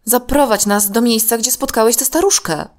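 Woman acting a young girl, children's tale, high voice, bossy, upset, emphatic. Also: moderate pace, close to an everyday voice. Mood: commanding. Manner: indignant, emphatic.

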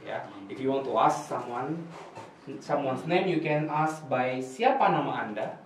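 A young man with Indonesian as his first language teaches a beginners' class, explaining slowly and patiently and repeating words for the students. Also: medium pitch, lively intonation, far from the mic, a little hiss or hum. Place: small room with projector screen. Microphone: far camera. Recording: echoey room recorded from afar.

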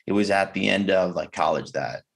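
Male podcast guest, deep husky voice, reflective and halting delivery. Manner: reflective, halting, many fillers and restarts. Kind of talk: candid conversation. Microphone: video call.

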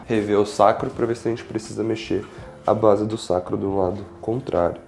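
Brazilian man, creaky mellow voice. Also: fairly low pitch, fairly even intonation, moderate pace. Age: young adult. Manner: reassuring, checking in often. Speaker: chiropractor. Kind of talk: instructing a patient during treatment, explaining to camera. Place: small indoor treatment room with large windows. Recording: roomy echo, faint music behind.

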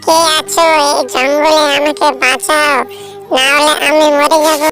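A woman voicing a caring, anxious mother tailorbird in a children's cartoon fable, with drawn-out, pleading emphasis. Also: high voice, little pitch movement, fairly bright tone, soft background music. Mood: desperate pleading.

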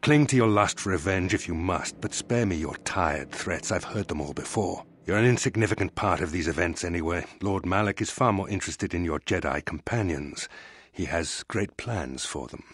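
A man's voice: sits low, expressive, normal speed, slightly rough, no echo. An actor playing a cold, smug older male fleet admiral in a sci-fi game, speaking with formal, condescending menace. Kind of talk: dramatic video game acting.

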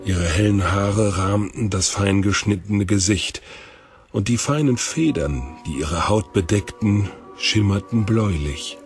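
A man's voice: fairly low pitch, unhurried, soft background music. A man reading scripted narration for a fantasy audio drama.

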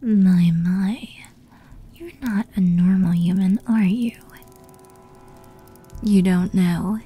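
A woman voicing a seductive, menacing female demon who toys with her prey in a teasing, breathy ASMR roleplay whisper. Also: medium pitch, slow, mellow. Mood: intrigued, possessive.

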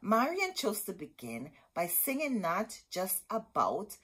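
Middle aged lady doing kids storytime, slow n deliberate, kinda husky voice. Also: mid-range voice, huge pitch swings, fairly bright tone. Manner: dramatic, deliberate storyteller phrasing with asides to listeners. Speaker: storytime host reading a picture book. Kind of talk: expressive children's read-aloud. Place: small indoor room with bookshelves.

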